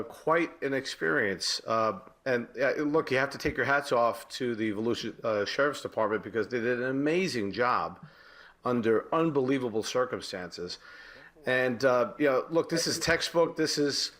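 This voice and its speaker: American guy, ex detective on the news, medium pitch, explains stuff kinda stop and start. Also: fairly bright tone. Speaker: former police detective, news guest. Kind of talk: live interview commentary.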